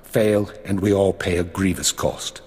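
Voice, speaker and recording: A man's voice: low voice, steady tone, measured pace, a little mellow. An actor voicing a stern middle-aged male spymaster who briefs an agent in a clipped, commanding tone, in a video game cutscene. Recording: long hall reverb.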